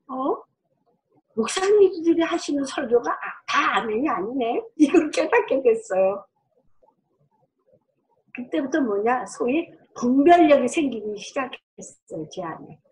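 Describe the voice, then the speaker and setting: A middle-aged woman delivers a sermon as a pastor. Her voice sits on the high side and carries strongly. She speaks earnestly and conversationally, addressing listeners directly and drawing on personal testimony. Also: low-quality recording. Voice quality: loud, strong voice.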